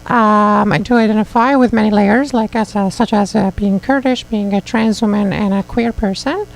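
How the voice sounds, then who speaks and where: A woman from Syria, a radio guest who speaks hesitantly with many pauses. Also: mid-range voice, steady tone, creaky, poor audio. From Aleppo, Syria. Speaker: activist and guest. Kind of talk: radio interview. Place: radio studio.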